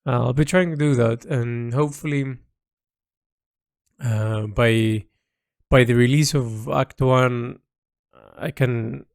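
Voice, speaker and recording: A man's voice: medium pitch, steady tone, takes it slowly, vocal fry, long pauses, dry recording, clean studio sound. A man recording an informal, reflective audio diary, speaking hesitantly as he thinks aloud and lets phrases trail. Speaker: artist recording a personal audio log.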